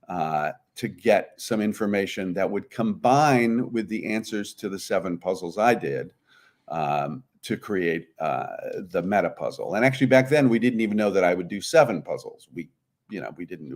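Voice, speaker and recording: Man, lower-pitched voice, interview guest, conversational, explanatory. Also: a little mellow. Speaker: guest, puzzlemaker.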